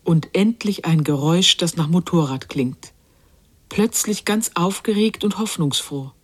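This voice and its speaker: An actress playing a quiet narrator in a literary radio drama, measured and lyrical in her delivery. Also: lowish voice, breathy edge. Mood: quietly tense.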